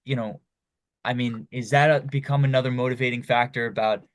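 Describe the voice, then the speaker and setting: A man's voice: mid-range voice, fairly even intonation, fairly quick, dry recording. A young man hosting a podcast, asking long, loose questions with lots of you-knows. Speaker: podcast host. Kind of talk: casual interview chat.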